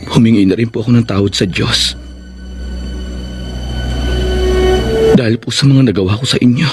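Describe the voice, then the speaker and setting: An actor with a Filipino accent playing a repentant, devoted grown son in a radio drama, solemn, respectful and sincere. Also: male voice, medium pitch, fast, over music, close to an everyday voice. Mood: remorseful.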